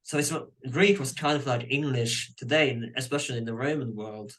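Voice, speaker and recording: A man's voice: mid-range voice, fairly bright tone. A man from Norway with a Norwegian accent, hosting an interview in English as a second language, repeating words as he forms his questions. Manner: halting, repeats words while forming questions. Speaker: podcast host. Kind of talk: conversational interviewing.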